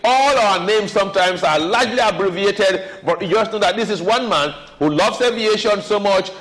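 A man's voice: high-pitched, moderate pace, a bit bright, low-quality recording. A man with a Nigerian accent hosting a TV talk show, rambling and emphatic with many hesitations. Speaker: TV show host.

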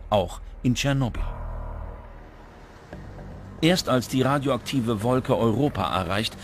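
Man, low voice, scripted documentary narration, prominent music underneath. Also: vocal fry. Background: prominent background music.

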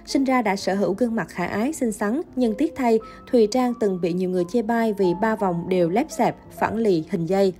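Mellow-voiced woman narrating news. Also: medium pitch, brisk, hardly any pauses, faint music behind.

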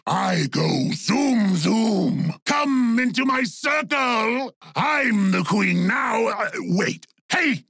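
An actor voicing a goofy, excitable blue orc shaman for a video game, gravelly and exaggerated, with a childlike, dim but gleefully destructive manner. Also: very high voice, very slow, dry recording, shouting, exaggerated voice. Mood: excited, silly. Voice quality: gravelly, raspy. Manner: exaggerated, childlike, simple-minded delivery. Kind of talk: video game character voice lines.

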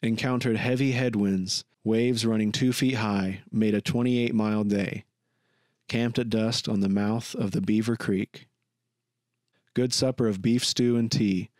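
A man reads a written account as scripted narration. His voice sits slightly low, the delivery is measured, and the recording is dry and close. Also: fairly even intonation, a little mellow, dry recording. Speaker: podcast narrator. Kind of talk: scripted narration of a magazine article.

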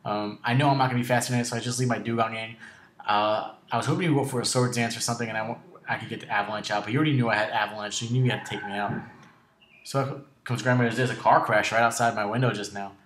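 A man hosts casual video game commentary with a raspy, sore voice that runs a little short of breath. His pitch is average, and the room adds clear echo. He is laid-back and halting as he narrates each move. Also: steady tone, a bit bright. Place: indoor room at home.

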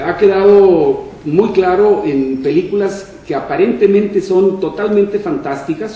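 An older man giving a public panel talk in a deliberate, formal, measured way into a table microphone. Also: on the high side, warm tone. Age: older, 60s or 70s. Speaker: panel speaker on science fiction. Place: indoor room at a panel table. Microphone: shared table microphone on stand. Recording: echoey room, distant mic, faint noise.

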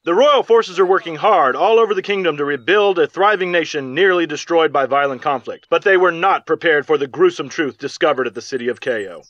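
An earnest male war correspondent reports in a measured, serious way for a game's newscast. His voice is high, and the sound is dry and slightly dull. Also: animated, a bit bright, no room sound. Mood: serious, concerned. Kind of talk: TV field report in a game cutscene. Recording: slightly dull, muffled audio.

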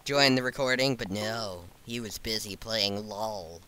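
A man's voice: fairly low pitch, unhurried, pushed and bright. A man chatting over voice chat while gaming, teasing and encouraging his friend and laughing often. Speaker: gamer co-host. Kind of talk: casual gameplay commentary.

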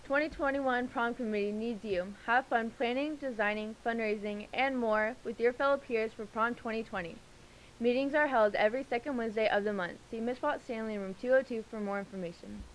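High-voiced teenage woman reading announcements. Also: brisk, poor audio. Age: late teens. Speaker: student news anchor. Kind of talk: scripted school news announcing. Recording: slight hiss and background noise.

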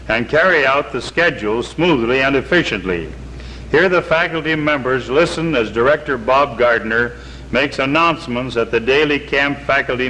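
A middle-aged man speaking in a measured, earnest, formal way, reading a prepared statement for a 1950s television broadcast. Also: medium pitch, bright, forceful tone, rough recording. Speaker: camp spokesman. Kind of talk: reading a prepared statement, then documentary narration. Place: outdoors by a lake. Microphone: seated on camera, reading from papers. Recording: old 1950s TV broadcast with faint hiss.

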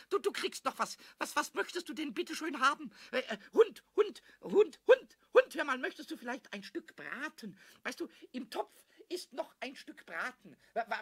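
Mischievous little kobold, creaky, wildly swooping, excitable, repetitive, children's audio drama. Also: male voice, very high voice, heavily acted. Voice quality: squawky, creaky. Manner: stammering, repetitive, sing-song rhyming. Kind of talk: German children's radio play acting.

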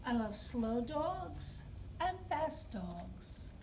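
A middle-aged woman speaks to young children as a story time host, with simple, expressive phrasing. Her voice is high and her pace unhurried. She is recorded indoors from a little way off, with noticeable background noise. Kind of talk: read-aloud and talk for young children. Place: indoor library children's room. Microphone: camera a few feet away. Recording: distant camera mic, clear background noise.

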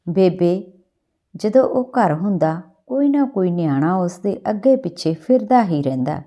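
A woman's voice: lowish voice, expressive, measured pace, dark tone. A woman playing a lonely young village daughter in a storybook reading, plaintive and simple, with a Punjabi accent.

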